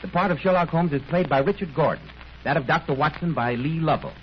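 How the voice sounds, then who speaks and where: A man's voice: on the high side, quick pace. A male radio host in 1930s announcing style, polished and courteous, delivering scripted sponsor chat like a salesman. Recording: dull, noisy 1930s radio recording.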